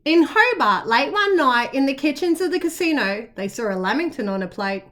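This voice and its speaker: A woman reading a picture book to young children in an animated, expressive way, with playful asides. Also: high-pitched, moderate pace. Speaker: children's story reader, kindergarten teacher.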